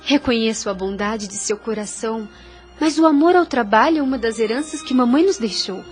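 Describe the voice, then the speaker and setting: A woman playing a gentle, devout young woman in an audio drama, earnest and soothing. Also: a little high, animated, fairly quick, faint music behind, close to an everyday voice.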